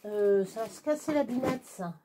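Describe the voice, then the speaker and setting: A woman's voice: on the high side, moderate pace, mellow, slight background noise. An older woman from central France, chatting casually to her followers with many hesitations and restarts while she works on crafts. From Touraine, France. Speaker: craft vlogger. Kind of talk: rambling casual chat.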